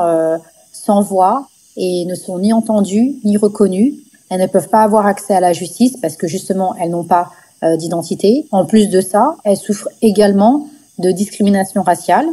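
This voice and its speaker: A woman's voice: mid-range voice, dark tone, husky. A woman from the Paris suburbs, giving earnest, emphatic interview testimony as an activist. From France, Paris suburbs. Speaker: NGO founder, activist, interview guest.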